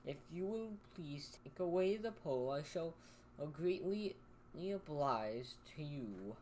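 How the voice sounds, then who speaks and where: A woman doing a husky, low straw scarecrow. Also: lively intonation, a little hiss or hum. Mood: soft, plaintive. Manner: slow, soft and low, polite and plaintive. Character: gentle male scarecrow stuffed with straw. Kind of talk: storybook read-aloud.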